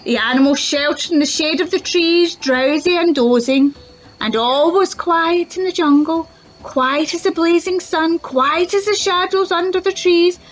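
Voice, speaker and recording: A children's librarian, reading a picture book aloud with lots of expressive sound words. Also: female voice, very high-pitched, measured pace, faint music behind, rough recording. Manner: expressive, sound-effect-filled storytelling. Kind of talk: storybook read-aloud.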